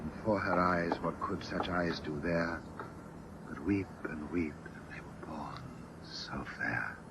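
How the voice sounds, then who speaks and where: A very deep-voiced, eerie suitor. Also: male voice, takes it slowly. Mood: dreamy. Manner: formal, precious, recites verse. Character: courtly, eerie male poetry-quoting suitor, soft-spoken and unsettling. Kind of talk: old-time radio drama acting. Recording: muffled, hissy vintage radio broadcast.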